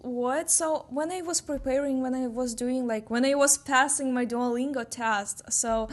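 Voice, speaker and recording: A young woman from Kyrgyzstan speaks English with a Russian accent, her native tongue. She talks hesitantly, searching for words through many fillers, in a fairly high voice. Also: steady tone, normal speed. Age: young adult. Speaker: international student guest. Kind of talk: casual interview chat.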